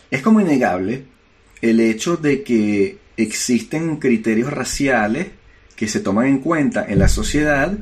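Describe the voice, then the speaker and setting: Brief: Venezuelan man, podcast co-host, argumentative and emphatic, casual. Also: mid-range voice. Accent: Venezuelan Spanish. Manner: argumentative, emphatic, frequent filler words. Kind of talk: casual discussion.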